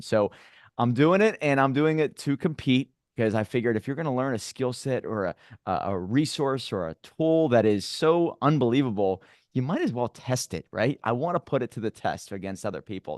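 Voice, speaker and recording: A man in his late thirties hosting a casual podcast. He talks in an animated, emphatic way and swears freely. His voice sounds dry and close, with no room echo. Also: medium pitch. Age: 30s. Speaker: podcast host. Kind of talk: casual interview talk.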